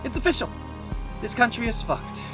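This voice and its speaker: Guy acting stressed young prisoner, high pitched, all over the place, sweary and sarcastic, music behind. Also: big pitch swings, normal speed. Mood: resigned. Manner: agitated, exclaiming, sarcastic. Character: anxious, foul-mouthed young man, kidnapped prisoner. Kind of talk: audio drama acting.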